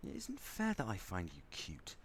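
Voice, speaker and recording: A man's voice: lowish voice, wildly swooping pitch, normal speed, a little hiss or hum. A man playing a sardonic, grudgingly fond spaceship crewman in an audio drama, rambling as he goes. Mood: grudging, charmed. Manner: sardonic, rambling, softening into fondness. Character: snarky male spaceship crewman, grudgingly fond, talking to baby.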